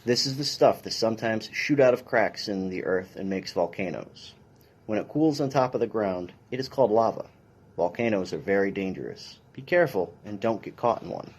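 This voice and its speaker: A man's voice: lowish voice, lively intonation, normal speed, husky. A man, a police officer, reading a children's science picture book aloud in an instructional way with brief dry asides.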